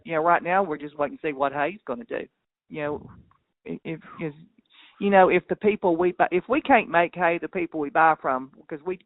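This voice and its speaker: A man with a fairly high voice talks over a thin, narrow phone line with very little room sound. He is a cattle farmer, chatting in a folksy, rambling, plain-spoken way. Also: no room sound. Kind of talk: casual phone chat. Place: on a phone call.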